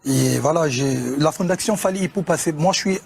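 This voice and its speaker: A man from Congo, speaking as a guest musician on a TV sports show, measured and often hesitating. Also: fairly high pitch, vocal fry, slight background noise. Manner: measured, with frequent hesitations and restarts. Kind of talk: casual TV interview talk.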